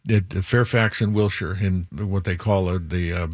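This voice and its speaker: An older man with a very low voice talks as an interview guest. He rambles a little, restarting and correcting himself as he strings together lists. The sound is dry and close, with no room echo. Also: fairly even intonation, moderate pace. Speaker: museum executive guest. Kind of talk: interview conversation.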